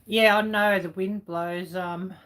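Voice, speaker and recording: A middle-aged woman with a croaky voice chatting casually on a livestream, answering viewers with lots of ums and you knows. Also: medium pitch, steady tone, takes it slowly, a bit bright. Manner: rambling with many fillers, chatty. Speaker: livestream host reading chat. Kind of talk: casual chat.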